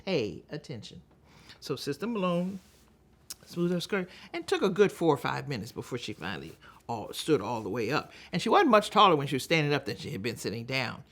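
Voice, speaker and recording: An older American woman from Texas giving a storytelling advocacy talk, speaking deliberately and emphatically with dramatic pauses. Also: a bass voice, big pitch swings. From Texas, United States. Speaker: public speaker.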